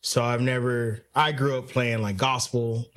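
A man's voice: mid-range voice, steady tone, measured pace, a bit bright. A drummer in his thirties talking in a laid-back, slangy way in a living room interview. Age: 30s. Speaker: band drummer. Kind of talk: casual interview chat.

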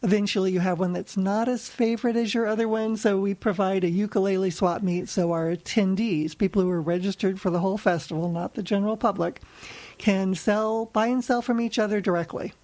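A woman with a lower-pitched voice chats easily in an interview. She explains things in a relaxed way and tells anecdotes, often laughing between them. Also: brisk, poor audio. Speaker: ukulele festival organizer. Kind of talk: casual interview chat.

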